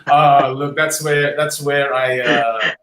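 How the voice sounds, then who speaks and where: A man in his thirties who grew up in Australia, a guest boxer chatting casually in an interview, relaxed and self-deprecating, with frequent ums. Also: mid-range voice, takes it slowly, reverberant.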